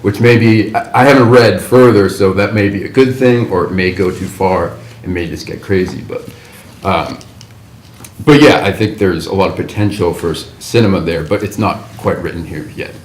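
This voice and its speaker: Deep-voiced man giving script feedback. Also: normal speed. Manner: thinks aloud, rambling with restarts. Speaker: writers' group member giving feedback. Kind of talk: casual critique discussion. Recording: roomy table recording, faint background noise.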